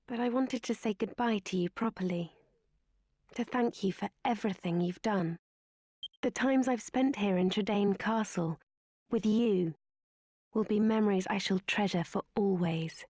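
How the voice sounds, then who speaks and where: An actress playing a gentle, dutiful young princess in a video game, speaking formally and tenderly with a breathy voice. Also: female voice, medium pitch, unhurried, no room sound, lightly acted. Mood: tender, grateful. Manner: formal, soft-spoken, tender. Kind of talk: scripted video game voice acting. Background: game music.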